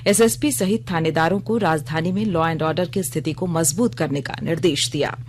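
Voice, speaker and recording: A woman reading a scripted radio news bulletin in a formal, measured manner. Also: low voice, a little hiss or hum.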